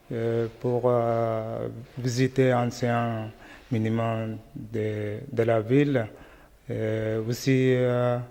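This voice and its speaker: A man's voice: mid-range voice, darkish tone. A man from Chad with a Chadian accent, a learner of French who searches hesitantly for words. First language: not French. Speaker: language course student. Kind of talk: simple prepared interview answers. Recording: long hall reverb.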